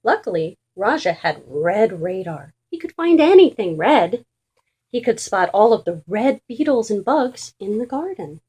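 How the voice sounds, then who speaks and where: A woman reading a children's storybook aloud in an expressive, deliberate and emphatic way for young listeners. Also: mid-range voice, husky. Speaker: children's book reader.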